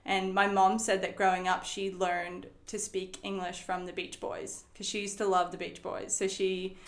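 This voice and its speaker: A chatty young woman, Swedish by birth, talks in an American accent full of "like" and "um". Her voice sits at a middle pitch and rings in an echoey room. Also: level tone, moderate pace. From Sweden. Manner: chatty, lots of fillers and likes. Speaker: guest. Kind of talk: casual interview chat.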